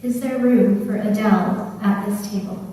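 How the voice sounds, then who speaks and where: A woman leading a church service as worship leader, reading calmly and steadily. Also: mid-range voice, normal speed, slight background noise. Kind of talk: liturgical reading. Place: church sanctuary. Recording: echoey church from far off.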